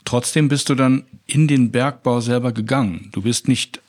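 A man's voice: mid-range voice, steady tone, moderate pace, a little mellow. A man hosting an interview in a familiar, conversational way. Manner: familiar, addresses guest informally. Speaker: interview host.

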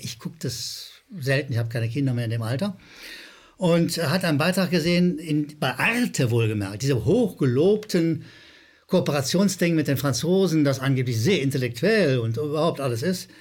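A man with a slight Rhineland German accent speaks as the host of a critical commentary show. His voice sits a little higher than most men's. He addresses his viewers with sardonic emphasis, often repeating a word to drive it home. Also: a little mellow.

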